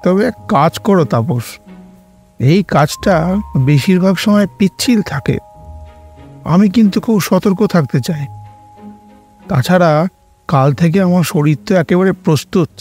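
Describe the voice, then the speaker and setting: A man acts out a jolly middle-aged uncle who lives for jokes. His voice sits a bit high and he drags his words out playfully, as in a radio mystery, with soft music underneath. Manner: playful, chuckling, drawn-out talk. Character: jolly, joking middle-aged uncle, fun-loving sidekick. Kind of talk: Bengali audio drama acting.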